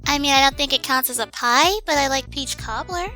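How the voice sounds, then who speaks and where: Woman, extremely high pitch, sharp bright tone, casual co-host chat. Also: fairly quick, soft background music.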